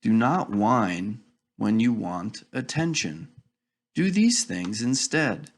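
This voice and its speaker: Slow-paced, gravelly man reading a children's book. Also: fairly low pitch, animated. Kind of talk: read-aloud storybook narration.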